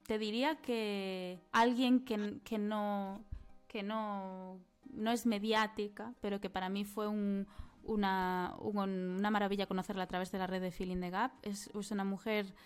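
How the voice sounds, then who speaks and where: A woman from Galicia, a marketing creative, talking as a guest in a casual interview, thoughtful and halting, laughing easily. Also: medium pitch, little pitch movement, clear, resonant tone, faint music behind. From Galicia, Spain.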